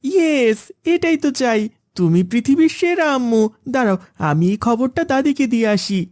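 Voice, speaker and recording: A young cartoon boy with a high, earnest voice. The delivery is childlike and eager, as in an animated moral tale, and the sound is close and dry. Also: moderate pace, dry recording. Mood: eager, demanding. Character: young boys: spoiled eager son and gentle poor elder brother. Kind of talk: animated Islamic moral story voice acting.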